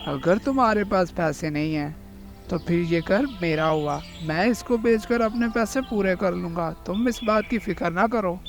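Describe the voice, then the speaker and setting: A man's voice: sits high, moderate pace. Man voicing a menacing cartoon eagle villain. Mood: stern, demanding. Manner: threatening, demanding. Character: menacing male eagle moneylender, a bullying villain. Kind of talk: Hindi cartoon voice acting. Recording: faint music behind the voice.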